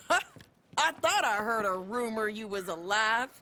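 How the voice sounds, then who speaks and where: An actress playing a brash, hearty frontier bounty hunter in a video game, folksy and drawling. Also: female voice, on the high side, animated, slow pace, sharp tone, a little hiss or hum, exaggerated voice. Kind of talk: dramatic video game acting.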